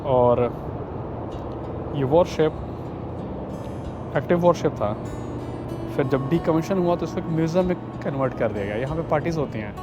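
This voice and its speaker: A man's voice: a little high, normal speed, long pauses, over music. A man giving a casual walking tour outdoors, mixing English words into his speech and sometimes searching for words. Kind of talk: casual tour narration to camera. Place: outdoors on city streets by a river.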